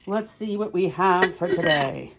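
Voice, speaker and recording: A woman's voice: fairly low pitch, a little slow, noisy background. A woman hosting a tarot reading, chatting casually and thinking aloud in short, musing phrases. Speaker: tarot reader hosting a video series.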